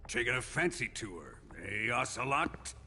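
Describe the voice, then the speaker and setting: An actor playing a domineering, mocking military colonel in a video game, sardonic and commanding. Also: male voice, mid-range voice, expressive, normal speed, slight background noise. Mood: smug, commanding. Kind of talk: dramatic video game cutscene acting. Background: loud music.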